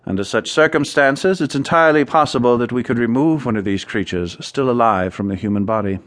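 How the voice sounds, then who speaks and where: An actor playing an obsessed, erudite scientist who studies fear, in a radio drama, with a theatrical delivery. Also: male voice, medium pitch, fairly quick, fairly bright tone, close to an everyday voice. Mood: measured, assured. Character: obsessed, distraught male scientist studying fear.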